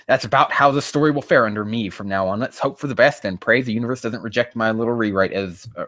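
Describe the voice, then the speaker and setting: A man's voice: lowish voice, fairly bright tone, no room sound. A man hosting a sarcastic reaction reading of fan fiction, with a profane, mocking manner prone to exasperation. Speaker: host.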